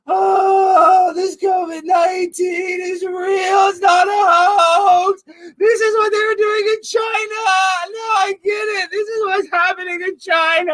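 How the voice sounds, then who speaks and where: A man's voice: very high voice, background noise, pitched above the performer's own voice. A man playing an over-the-top sick man who gasps, panics and wails melodramatically. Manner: melodramatic wailing and shouting.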